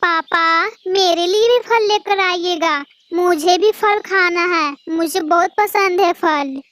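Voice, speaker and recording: A woman's voice: very high voice, slow pace, fairly bright tone, full character voice. A woman voicing a sad, pleading young girl bird in a Hindi cartoon. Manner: pleading, repetitive, plaintive.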